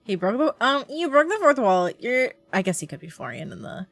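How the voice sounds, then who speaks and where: A woman's voice: on the high side, big pitch swings, brisk, vocal fry, dry recording. A young woman streamer giving casual, giggly, exclaiming reactions to a game. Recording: game music behind.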